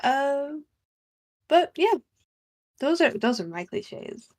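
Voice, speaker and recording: Slow-talking woman, casual panelist. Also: high voice, very animated. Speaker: panelist, writer. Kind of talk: casual chat.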